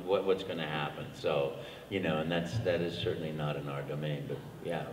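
A man's voice: a bass voice, fairly even intonation, brisk. An older man on a convention panel, talking cheerfully and playfully about voice work. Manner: cheerful, bouncy, full of little exclamations. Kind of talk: casual panel talk. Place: large convention hall stage. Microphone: table mic picked up from the audience side. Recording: echoey hall recorded from far off.

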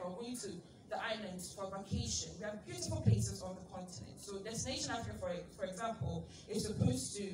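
A woman from Ghana in her thirties, a TV show host giving an animated speech to an audience, with rhetorical questions and laughing asides. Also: medium pitch. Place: large indoor event space. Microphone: handheld mic, recorded from far in the audience. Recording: distant echoey room recording with ambient noise. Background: crowd ambience.

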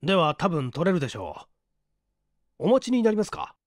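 Man playing a polite police chief, highish voice. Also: wildly swooping pitch, a little fast, no echo, studio quality. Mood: businesslike. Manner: courteous, matter-of-fact. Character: businesslike polite male police detective chief.

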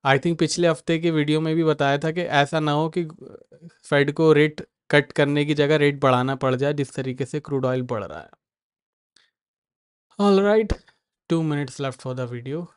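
A man's voice: fairly high pitch, fairly even intonation, unhurried, smooth, dry recording, clean studio sound. A man with an Indian accent hosting a live market stream, answering viewers by name in a mix of Hindi and English. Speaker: market analyst host.

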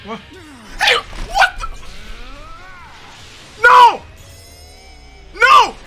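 High bright hyped young man. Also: huge pitch swings, very slow, soft background music. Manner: hyped, yelling and laughing, slang-heavy. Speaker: gaming video host. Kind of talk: excited gameplay reaction. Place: small home gaming room, indoors. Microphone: headset.

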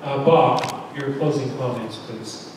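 A man's voice: medium pitch, slow. A man moderating a debate, briefly and courteously handing over the floor. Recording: echoey hall from far off, background noise.

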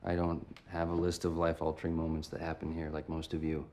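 A man's voice: extremely low pitch, monotone, a little fast, darkish tone, slight background noise. An actor in his thirties playing an earnest young playwright who declares his love in a cozy coffee shop. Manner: heartfelt, speaking at a microphone. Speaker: playwright speaking at a microphone. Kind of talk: film drama acting. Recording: film soundtrack with music underneath.

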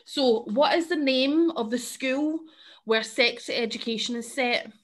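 A woman's voice: a little high, measured pace. A young Scottish woman hosting a casual podcast and quiz over a video call, bubbly and chatty, laughing often. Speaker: podcast host.

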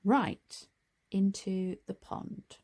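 Breathy, unhurried woman reading a storybook. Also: mid-range voice. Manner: expressive, deliberate phrasing for children. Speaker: storybook reader. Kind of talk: scripted children's story narration.

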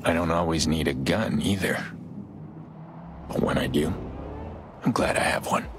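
An actor playing a terse, stoic, middle-aged American gunman in a video game cutscene, speaking in a deadpan way. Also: very deep voice, unhurried. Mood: subdued, defensive. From United States. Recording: music behind the voice.

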